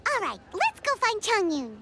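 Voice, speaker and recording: A woman voicing a tiny floating fairy companion girl in a video game, squeaky, childlike and bubbly. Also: huge pitch swings, normal speed, very noisy, heavily acted. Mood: eager. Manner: exclamatory, bubbly. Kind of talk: animated video game voice acting.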